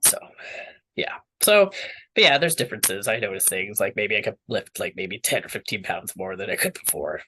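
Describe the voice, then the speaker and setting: A man's voice: fairly low pitch, expressive, moderate pace, bright, forceful tone, slight background noise, rough recording. A young man speaking candidly as an interview guest, rambling with many fillers and restarts. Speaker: interview guest, water professional.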